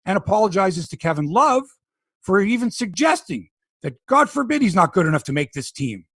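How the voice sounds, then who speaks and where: A man's voice: sits high, very animated, a little fast, a bit bright, husky, dry recording, studio quality. A middle-aged Canadian man hosting a sports podcast, opinionated and sarcastic in casual talk. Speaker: podcast host.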